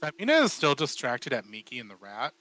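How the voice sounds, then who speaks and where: A woman's voice: a bass voice, wildly swooping pitch, fairly quick, fairly bright tone. A woman chatting casually as a player in a tabletop roleplaying game. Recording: clearly noisy microphone with clicks.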